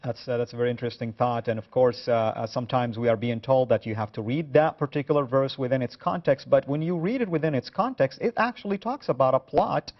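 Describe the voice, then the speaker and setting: A man's voice: medium pitch, quick pace, hardly any pauses, dull audio. Hesitant male talk show host. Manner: hesitant, many "uh" fillers. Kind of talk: studio talk show discussion.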